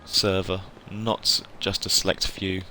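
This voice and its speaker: Bright-voiced man chatting earnestly on a livestream. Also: low voice, level tone, slow pace, slight background noise, poor audio. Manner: earnest, effusive, repeats himself for emphasis. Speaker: livestreamer playing a video game. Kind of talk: casual livestream chat with viewers.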